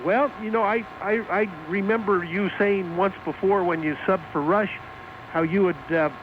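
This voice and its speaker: Male radio caller, high register, haltingly mock-aggrieved, thin telephone sound. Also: steady tone. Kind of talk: casual phone-in chat. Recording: tinny noisy phone line on radio.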